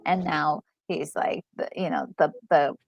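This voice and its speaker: A woman co-hosting a gaming discussion, talking casually and enthusiastically in a halting, emphatic way over music. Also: low-pitched, steady tone, husky, dry recording, dull audio. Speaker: gaming channel co-host. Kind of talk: casual commentary on a game story. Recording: music playing behind a close, dry voice.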